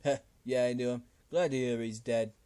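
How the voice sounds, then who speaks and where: A man voicing a bitter, leering cannibal thug in a game, talking in a gruff, slangy, loud way. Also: mid-range voice, fairly even intonation, moderate pace, lightly acted. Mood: bitter, resentful.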